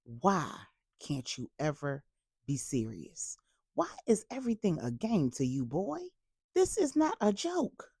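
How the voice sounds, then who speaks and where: Woman playing a low-voiced, imperious family matriarch. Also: huge pitch swings, takes it slowly, a little mellow, breathy edge, no echo, clean studio sound, full character voice. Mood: exasperated. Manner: formal, imperious, exasperated. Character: regal, scolding elderly mother, imperious family matriarch.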